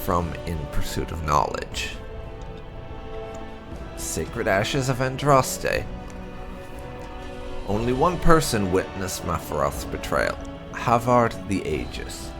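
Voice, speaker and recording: A man narrating a video game playthrough, reading lore aloud in an expressive, measured storytelling way with emphatic phrasing. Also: deep voice, music in the background. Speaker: gaming channel narrator.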